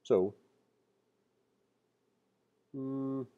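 A man giving a casual read-along programming tutorial, reading aloud and thinking out loud with informal explanatory asides. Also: medium pitch, extremely slow, clean tone, dull audio. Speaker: programming instructor. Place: screen-recorded voice-over.

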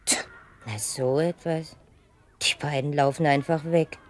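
The matron of a children's holiday home in an audio drama. Her voice sits low. She is brisk and kindly, speaking familiarly and often musing to herself. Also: steady tone, slight background noise. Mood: puzzled, musing. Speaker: voice actor. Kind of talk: children's audio play acting.

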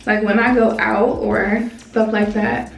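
A woman's voice: mid-range voice, little pitch movement, slow, creaky. A woman in her late twenties chatting casually to her vlog camera while doing her hair, drawling and talking to viewers as y'all. She is a little under the weather. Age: 20s. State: a little sick. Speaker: vlogger. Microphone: small camera on tripod. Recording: roomy echo, mic at a distance, music behind.

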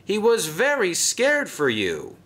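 A fussy, commanding railway director, put on by a man in a storybook reading. He speaks formally and crisply in a bright voice, with a pitch that climbs and dips dramatically. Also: wildly swooping pitch, heavily acted. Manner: formal, brisk.

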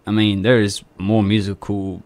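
Lowish-voiced Australian man talking movies. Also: level tone, normal speed, a little mellow. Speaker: radio show co-host reviewing a film. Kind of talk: casual radio chat. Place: community radio studio.

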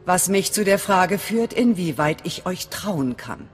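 A woman's voice: fairly low pitch, animated, unhurried. A woman playing a hard, distrustful resistance fighter in a video game, speaking in a blunt, commanding, menacing way. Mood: menacing, suspicious. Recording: long reverb, faint music behind.

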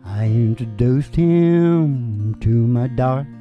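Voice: mid-range voice, expressive, takes it slowly. A performer hosting a live acoustic country music show, with guitar in a lo-fi recording. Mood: singing. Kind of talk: casual joking stage banter between acoustic country songs.

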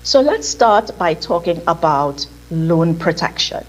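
A middle-aged woman with a Trinidadian accent presents over a video call, her voice somewhat low and husky. She speaks in a measured, careful way, slowing herself down on purpose. The sound comes from a laptop call played into a meeting room. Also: very animated, faint music behind, low-quality recording. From Trinidad and Tobago. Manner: measured, deliberately slowed delivery. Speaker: insurance presenter. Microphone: video call on laptop, played through room speakers.

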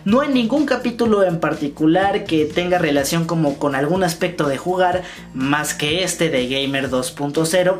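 A man, hosting a video of fan news and theory commentary, talking to camera in a small room. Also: fairly high pitch. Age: 20s. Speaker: YouTube host. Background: music.

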